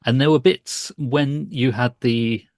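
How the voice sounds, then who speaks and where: A man's voice: medium pitch, fairly even intonation, slow, a little mellow, no room sound, clean studio sound. A man co-hosting a podcast interview, chatting casually and thinking aloud as he puts questions.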